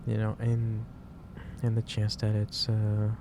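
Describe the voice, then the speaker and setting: A man playing a gentle, caring office boss in an ASMR roleplay, speaking haltingly with repeated phrases over a noisy background. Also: lowish voice, completely flat delivery. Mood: gently concerned. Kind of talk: soft-paced ASMR roleplay monologue. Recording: clearly noisy background hiss or ambience.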